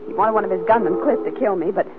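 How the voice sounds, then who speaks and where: A woman's voice: mid-range voice, rapid-fire, close to an everyday voice. An actress playing a detective's plucky young girlfriend, anxious but defiant, in a 1940s radio drama. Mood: relieved, shaken. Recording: scratchy, tinny 1940s radio recording.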